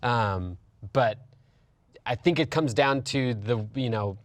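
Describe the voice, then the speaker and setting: A man's voice: medium pitch, slow, dry recording. Man in a dry, close studio discussion. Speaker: panelist on a film and gaming talk show. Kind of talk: casual panel discussion.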